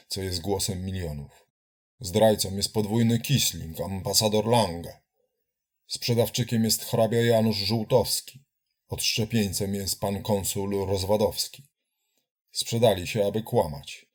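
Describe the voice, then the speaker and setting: A man giving a dramatic reading of a political essay in a solemn, accusatory, declamatory manner. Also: on the low side. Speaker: reader of political writings.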